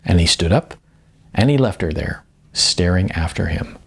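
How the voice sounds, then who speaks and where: A man narrating comic fiction as an expressive audiobook reader. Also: deep voice, moderate pace, vocal fry, slightly rough.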